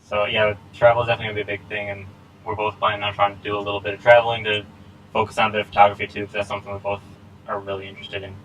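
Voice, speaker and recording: Low-voiced guy in his 20s, rambly podcast chat, bit of background hiss. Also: racing, poor audio. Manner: relaxed, rambling with many fillers and laughs. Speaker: podcast co-host. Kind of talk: casual chat. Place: small home setup. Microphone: laptop recording.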